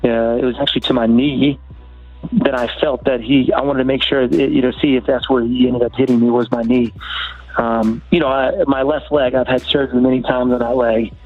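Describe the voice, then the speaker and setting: A man's voice: medium pitch, little pitch movement, brisk. A male fighter talking casually after a bout in an aggrieved, insistent way. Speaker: professional fighter. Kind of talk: casual post-fight talk. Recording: dull, lo-fi audio with music.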